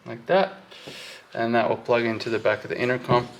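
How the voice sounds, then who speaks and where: A man with a noticeably gravelly, fry-edged voice at an average pitch talks through a hands-on job. He sounds informal and unscripted, thinking aloud as he explains each step. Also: fairly even intonation, brisk, low-quality recording. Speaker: host of a hands-on wiring walkthrough. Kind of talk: casual workshop explanation while working.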